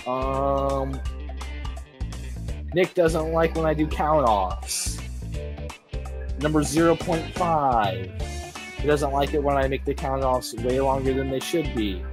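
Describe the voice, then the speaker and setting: Brief: young male podcast host, goofy and self-deprecating, faint music behind. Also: mid-range voice. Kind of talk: casual comedic podcast chat.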